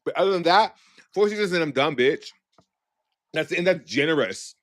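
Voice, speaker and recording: Animated sassy man, casual chat. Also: on the high side, moderate pace. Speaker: podcast co-host.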